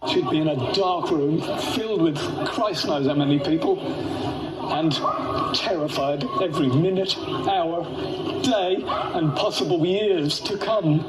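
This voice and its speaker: A man from Ireland, a father interviewed on TV, speaking slowly and haltingly. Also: a little high. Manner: slow, halting. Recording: roomy TV clip with loud noise.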